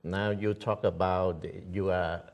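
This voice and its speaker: A man hosts a local TV interview, putting his questions slowly and haltingly. His voice sits a little low, and he often stops to search for his words. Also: steady tone.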